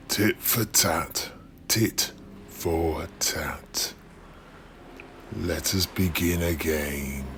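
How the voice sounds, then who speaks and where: A man voicing a menacing, courtly undead pirate captain with a gravelly voice and theatrical, archaic, drawn-out speech. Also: rumbling low, a little hiss or hum, voice pushed down, heavily acted.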